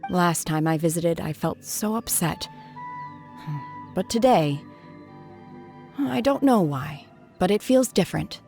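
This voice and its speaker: An actress voicing a reserved, melancholy young woman in a video game, measured and subdued. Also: on the low side, expressive, gravelly, a little mellow, faint music behind, exaggerated voice. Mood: softening.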